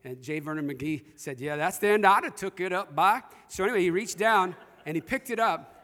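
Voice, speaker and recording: High-voiced male preacher in a reverberant hall. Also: brisk, fairly bright tone. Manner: conversational, addresses listeners directly. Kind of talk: sermon with storytelling and scripture reading.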